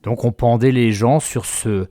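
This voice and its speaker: A man hosts a local radio interview and keeps his questions lively and friendly. His voice sits in the middle range, and it is recorded dry and close in a studio. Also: mid-range voice, a little slow, no room sound. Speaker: radio host.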